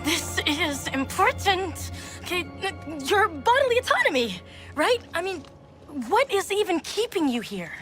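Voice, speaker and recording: A woman's voice: extremely high pitch, very animated, a little slow, a bit bright, faint music behind, heavily acted. A woman playing a sassy, defiant eighteen-year-old daughter in a video game, talking in casual, sarcastic slang. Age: young adult. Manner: sarcastic, exasperated, teenage slangy delivery.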